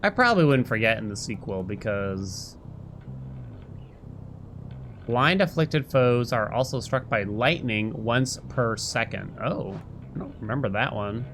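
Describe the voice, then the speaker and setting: A man's voice: medium pitch, lively intonation, moderate pace, few pauses, a bit bright, a little hiss or hum. Rambling male game streamer over music. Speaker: game streamer talking to live chat. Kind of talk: casual live gameplay commentary, reading chat and on-screen text.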